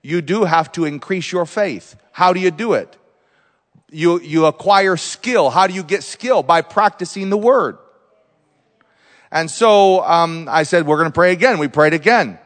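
A man preaching as a visiting missionary, telling healing stories and declaring loudly, rising to exclamations. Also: on the high side, unhurried, big-room sound. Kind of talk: pentecostal sermon.

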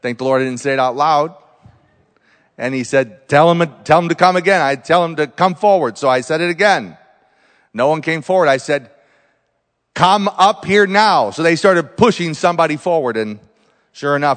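A man preaching as a visiting missionary, telling healing stories and declaring loudly, rising to exclamations. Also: mid-range voice, big-room sound. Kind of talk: pentecostal sermon.

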